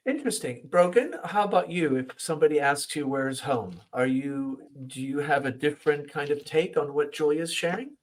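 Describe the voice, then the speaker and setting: A man hosts a podcast conversation, putting long, winding questions to his guests. His voice sits slightly higher than most men's. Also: expressive, moderate pace, hardly any pauses, poor audio. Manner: asks long rambling questions. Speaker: podcast host. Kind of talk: interviewing guests.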